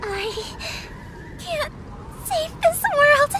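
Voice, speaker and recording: An actress playing a wounded young heroine who speaks in halting, sobbing, despairing words. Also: female voice, very high voice, expressive, noisy background, full character voice. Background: game music.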